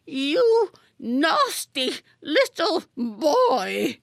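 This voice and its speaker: A woman's voice: high voice, big pitch swings, takes it slowly, no room sound, clean studio sound, voice pushed up, exaggerated voice. A woman voicing a stout, elderly, haughty lady who speaks with gracious condescension in a storybook reading. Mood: furious. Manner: gracious, patronizing, grand.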